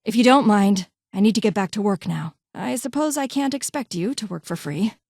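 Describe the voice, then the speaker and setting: A woman playing a curt vault doctor and scientist from a video game. She is haughty and impatient, phrasing things formally in a clipped, condescending way. The voice sits at a middle pitch in a very dry space. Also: expressive, a little fast, vocal fry, darkish tone, dry recording. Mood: dismissive. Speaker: voice actor in a game mod. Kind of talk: scripted game character dialogue.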